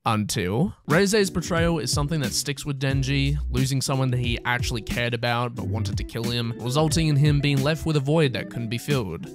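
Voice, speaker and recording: A young man delivers a scripted video-essay narration in a casual, sardonic tone. His pitch sits in the middle range for a man, and he drops in joking asides. Music plays clearly behind his voice.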